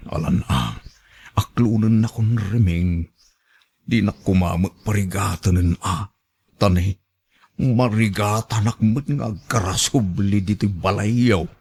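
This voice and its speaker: Radio drama role: lovesick old man, pleading and persistent, voice on the low side acted by a man. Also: slow, creaky, a little mellow, breathy edge, exaggerated voice. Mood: pleading, coaxing. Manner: coaxing, sentimental. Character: elderly male suitor, pleading, insistent, lovesick grandfather.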